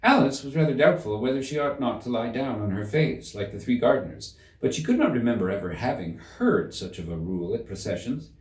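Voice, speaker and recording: A man giving a measured, theatrical reading of a children's story in an echoing room. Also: medium pitch, animated, distant mic. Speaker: audiobook narrator. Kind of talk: expressive scripted storybook narration. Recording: roomy echo, slightly off the mic.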